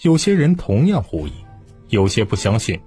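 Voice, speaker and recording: A man's voice: fairly low pitch, sing-song, normal speed, warm tone, husky. A man narrating historical fiction in a dramatic scripted audiobook style. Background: music.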